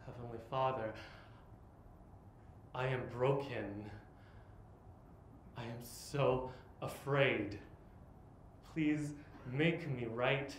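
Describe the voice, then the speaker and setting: A man in his twenties delivers a theatrical spoken-word monologue at a slow, deliberate pace. He leaves long pauses between short phrases. The live stage recording is echoey, with faint noise behind him. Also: medium pitch. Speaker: monologue performer.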